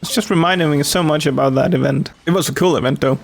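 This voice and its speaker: Female game streamer, low-voiced, casual, halting think-aloud commentary, slight background noise. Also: vocal fry. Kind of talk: casual play-along commentary.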